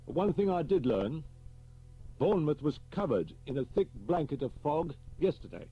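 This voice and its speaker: A man voicing a blunt, grave senior police chief who speaks briskly. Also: on the high side, sing-song, husky, dull audio, soft background music, voice pushed up. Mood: grave, urgent.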